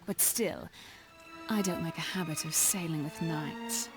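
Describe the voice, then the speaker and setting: Cocky, sly pirate captain. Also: female voice, sits low, unhurried, music in the background, low-quality recording. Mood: shrewd. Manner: teasing, bold. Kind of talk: video game cutscene acting.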